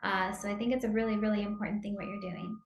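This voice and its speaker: A woman's voice: mid-range voice, wildly swooping pitch, quick pace, a little hiss or hum. Effusive woman in an echoey room. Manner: enthusiastic, effusive, rambling questions. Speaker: interviewer. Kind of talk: interview host questions.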